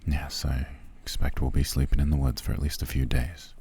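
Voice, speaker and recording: A man playing a cold, haughty soldier prince in a fantasy audio roleplay, curt and disdainful, with a gruff, breathy voice. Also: flat delivery, a little fast, dark tone, heavily acted. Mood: commanding, dry. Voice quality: very deep, gravelly, breathy. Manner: curt, disdainful, dry, low-key grumbling. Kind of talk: ASMR roleplay acting.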